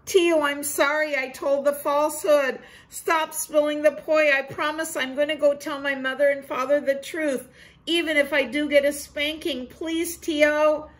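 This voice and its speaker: A woman voicing a scared young boy who pleads and cries out, in a high voice. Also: steady tone, a little slow. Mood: pleading. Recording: roomy echo.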